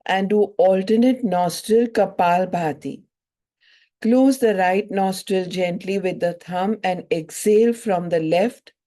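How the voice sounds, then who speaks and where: A woman with a soft-edged, mellow voice of medium pitch guides a meditation as a yoga teacher. She speaks very slowly in short, soothing phrases, leaving generous pauses between instructions.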